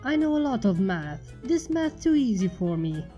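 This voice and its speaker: A woman voicing a clever young foreign filly pony who speaks politely in halting, broken sentences. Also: medium pitch, very animated, mellow, highs cut off, faint music behind, lightly acted. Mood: earnest, polite.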